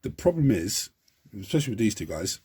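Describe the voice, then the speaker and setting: A man hosting a model-building tutorial, talking in a chatty, rambling way with a croaky, hoarse voice and many restarts. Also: on the low side, big pitch swings, fairly quick, dark tone, a little hiss or hum. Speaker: hobby channel host.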